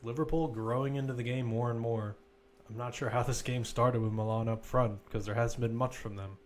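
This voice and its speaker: A man's voice: mid-range voice, steady tone, a little fast, slight background noise. A man commentating live on a football match, mixing play-by-play calls with informal, conversational analysis.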